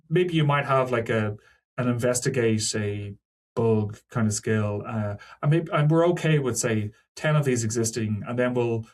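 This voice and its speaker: A man with a medium-pitched voice talks as a technical guest in an interview. His delivery is informal and discursive. He qualifies his points and drops in asides as he explains. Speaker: podcast guest, senior software engineer. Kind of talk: casual technical interview talk.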